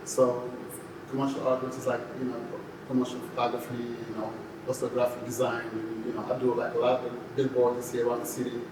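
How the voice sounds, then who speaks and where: Senegalese guy podcast guest, kinda halting, says you know a lot, echoey w noticeable noise. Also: medium pitch, completely flat delivery. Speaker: podcast guest, photographer and graphic designer. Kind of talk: casual interview talk. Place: indoor podcast studio. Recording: echoey room, distant mic, clear background noise.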